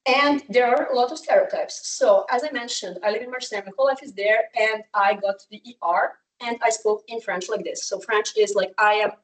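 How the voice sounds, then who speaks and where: A woman's voice: medium pitch, a little fast, hardly any pauses, distant mic, poor audio. A young woman from Serbia, a native Serbian speaker, giving a candid conference talk as a researcher, with frequent fillers and restarts. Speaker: researcher presenting at a summer school.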